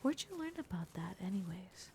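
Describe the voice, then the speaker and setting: A woman's voice: on the low side, big pitch swings, moderate pace, mellow, a little hiss or hum. A woman voicing a blunt, protective young werewolf girl in an ASMR roleplay, often dropping into a whisper. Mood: exasperated. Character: gruff, protective young werewolf girl, exasperated but caring.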